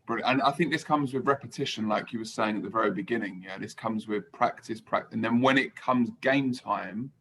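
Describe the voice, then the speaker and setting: A man's voice: lowish voice, a little fast, few pauses, a bit bright. A man hosting a podcast, chatting casually with his guest and agreeing readily. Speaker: podcast host. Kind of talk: casual interview chat.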